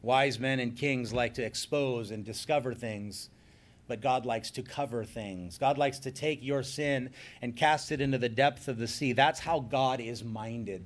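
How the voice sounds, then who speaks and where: A man preaching a sermon, earnest and emphatic, slowing down on key phrases and then leading a closing prayer. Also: mid-range voice. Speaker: pastor.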